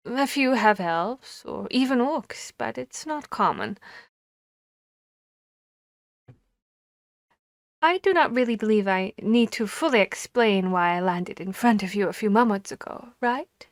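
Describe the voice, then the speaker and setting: A harpy played by a woman in an ASMR audio roleplay, with a mid-pitched feminine voice recorded close and dry. She phrases things formally and deliberately, commanding yet courteous, with bashful little hesitations. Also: animated, dry recording, lightly acted. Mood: hesitant, explaining.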